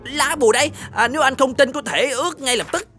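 A woman's voice: high-pitched, fast, fairly bright tone, slightly rough. A woman voicing a pleading talking monkey in a fairy-tale cartoon, with hesitant little interjections. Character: pleading talking monkey caught stealing food. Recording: music behind the voice.

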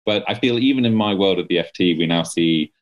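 A man's voice: deep voice, brisk. A man in his thirties, a journalist guest, talking thoughtfully with frequent restarts over a video call from home. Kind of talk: casual interview conversation. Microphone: laptop webcam on video call.

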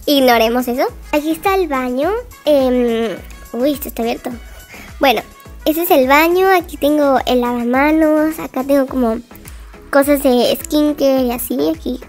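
High-voiced woman gushing over a game house. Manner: chatty, gushing, many "como" fillers. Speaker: game house tour narrator. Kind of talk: casual voice-over narration of a game house tour.

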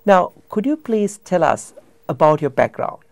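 A middle-aged man hosting a TV studio interview, asking questions in a halting way with fillers, on a lapel mic. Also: high-pitched, very animated, gravelly, darkish tone, no echo. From Sri Lanka. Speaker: TV host.

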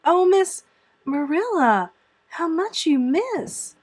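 Storybook orphan girl, played by a woman: earnest, imaginative, gushing and dramatic, pitched up. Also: animated, moderate pace, fairly bright tone, natural voice. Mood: wide-eyed. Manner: gushing, wordy, dramatic pleading. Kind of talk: audiobook character voice.

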